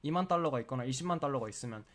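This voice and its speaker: A man in the middle of a cold, his throat sore and often needing a clear, walks viewers through a screen-share tutorial. He talks in a chatty, explaining way, filling his sentences with little filler words, at an ordinary middle pitch for a man. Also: lively intonation, racing, a bit bright. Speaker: crypto trading tutorial host.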